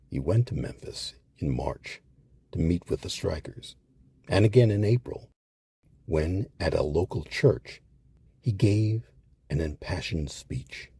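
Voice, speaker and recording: Male narrator for history series. Very husky, low, animated intonation, measured dramatic pauses. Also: no echo. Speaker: host and narrator.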